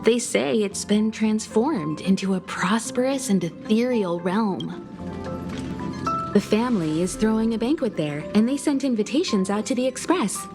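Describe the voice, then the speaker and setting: Worldly, measured woman voyager for a game, actress; mid-range, expressive, music underneath. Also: medium pitch, lightly acted. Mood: composed, informative. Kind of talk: video game voice acting.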